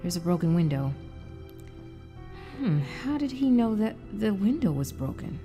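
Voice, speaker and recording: A woman's voice: medium pitch, very animated, moderate pace, warm tone, faint music behind. A woman playing an anguished wife trapped in frozen time, in an emotional audio drama with narration and dialogue. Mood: somber reflective.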